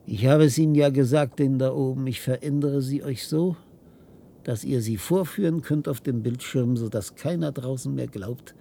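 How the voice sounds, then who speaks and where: An actor playing an obsessive, tormented scientist in a German radio play, theatrical and halting, moving between hushed whispers and outbursts. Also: male voice, mid-range voice, vocal fry, slight background noise. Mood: bitter, haunted. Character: obsessive, tormented male linguist scientist, desperate and defiant.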